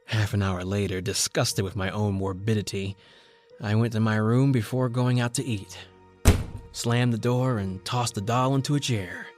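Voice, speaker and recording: A man playing a haunted, brooding newspaper reporter who narrates his tale in old-time radio horror style, with dramatic pauses. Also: on the low side, fairly even intonation, a little mellow, faint music behind, natural voice.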